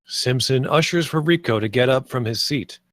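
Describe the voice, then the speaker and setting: A man's voice: mid-range voice, normal speed, a bit bright, no room sound, clean studio sound. A man narrating the stage directions and scene headings of a screenplay at a table read.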